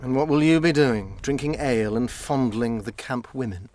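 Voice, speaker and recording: A man's voice: medium pitch, normal speed, darkish tone, natural voice. Casting: man for shrewd court interrogator, fantasy game, medium register, articulate, cold and insinuating. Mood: indignant, sarcastic. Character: shrewd, coldly proud royal spymaster, an adult man. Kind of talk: video game dialogue acting.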